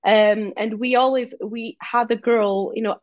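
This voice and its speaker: A woman's voice: on the high side, fairly even intonation, unhurried, no room sound, dull audio. Chatty young woman, Portuguese accent. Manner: talkative, many restarts and fillers, laughs easily. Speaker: guest athlete. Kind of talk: casual interview chat.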